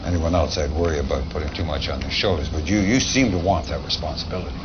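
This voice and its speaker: A man's voice: very deep voice, fast. An actor playing a blunt, weary general briefing his commander in a video game cutscene. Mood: determined. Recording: dull lo-fi audio, clear background noise.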